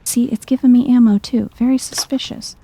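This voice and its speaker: A woman giving soft-spoken, chill ASMR commentary over a video game playthrough, thinking out loud in a low-key way. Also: steady tone, brisk, no room sound.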